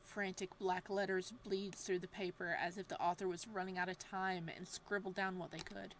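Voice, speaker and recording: A woman reading a horror visual novel's text aloud in a hushed, steady voice. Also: on the low side, moderate pace, slight background noise.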